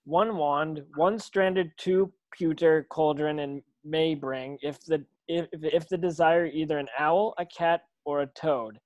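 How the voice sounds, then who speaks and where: A man's voice: a little high, steady tone, slow pace, hardly any pauses, no echo, rough recording. A man playing a curious, puzzled young boy wizard in a screenplay table read. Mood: reading, wondering.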